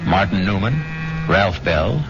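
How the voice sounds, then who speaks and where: A man's voice: deep voice, lively intonation, takes it slowly. A man hosting a radio mystery show with theatrical, dramatically phrased scripted narration. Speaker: host and narrator. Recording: dull old radio recording, loud music.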